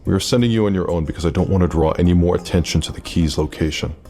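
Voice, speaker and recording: An actor playing a secretive, centuries-old immortal leader in an audio drama, speaking with measured, formal authority. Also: male voice, low voice, mellow, faint music behind. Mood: urgent, solemn.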